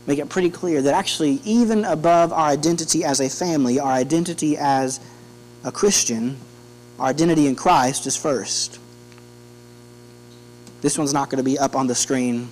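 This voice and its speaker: A man's voice: fairly high pitch, poor audio. A man in his thirties preaching a sermon in an earnest, conversational way, often restarting his phrases. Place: church sanctuary, indoors at a pulpit. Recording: steady electrical hum behind the voice.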